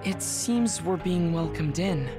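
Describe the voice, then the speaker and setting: A man's voice: on the high side, animated, slow. Small, polite young male squad member. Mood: thoughtful. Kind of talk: English video game dub acting. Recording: music under the voice.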